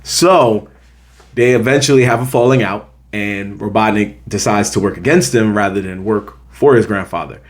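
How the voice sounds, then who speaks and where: A man's voice: fairly low pitch, moderate pace. Emphatic American man reviewing movies. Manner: conversational, emphatic, repeats points for emphasis. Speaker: film review show host. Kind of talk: casual opinionated movie review to camera.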